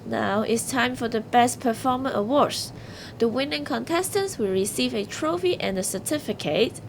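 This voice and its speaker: A woman's voice: fairly high pitch, lively intonation, normal speed, vocal fry, a little hiss or hum. A woman hosting an awards ceremony, reading scripted announcements.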